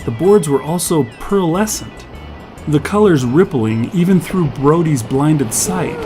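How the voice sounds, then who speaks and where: Higher-voiced male story narrator. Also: lively intonation, unhurried, music in the background, rough recording. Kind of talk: scripted fiction narration.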